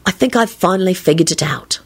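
A woman's voice: on the low side, lively intonation, fairly quick, husky. A woman voicing a lovesick young man in a story, dreamy, earnest and rambling.

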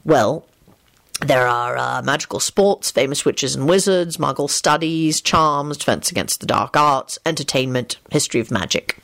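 A woman's voice: very deep voice, lively intonation, moderate pace, creaky, a bit bright, pitched below the performer's own voice, heavily acted. A woman voicing a wry, easygoing grown wizard who explains things a little hesitantly. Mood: explaining.

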